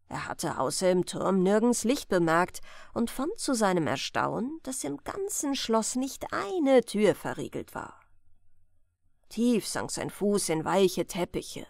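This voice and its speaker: Expressive woman narrator with lively intonation. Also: medium pitch, vocal fry, no room sound, clean studio sound. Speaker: audiobook reader. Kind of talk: bedtime fairy-tale narration.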